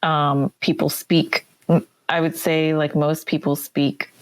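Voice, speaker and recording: A woman with a notably low voice talks slowly and reflectively on a podcast. Her first language is Korean. She pauses on soft hesitations, and the sound is close and dry. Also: flat delivery, dry recording. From United States. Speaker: podcast guest. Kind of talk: casual podcast chat.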